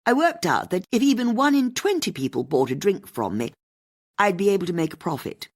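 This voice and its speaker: A woman voicing a friendly young horsey upper-class woman with a cut-glass English accent, brisk, bright and open, in an audiobook. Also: mid-range voice, very animated, pitched above the performer's own voice. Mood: cheerful.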